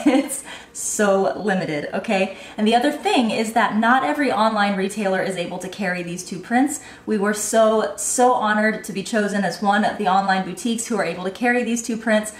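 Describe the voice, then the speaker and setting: Woman shop owner, nasal with a cold, gushing product vlogger; echoey room. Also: medium pitch. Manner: bubbly, gushing enthusiasm. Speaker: online baby boutique owner reviewing bags. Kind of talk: product review vlog.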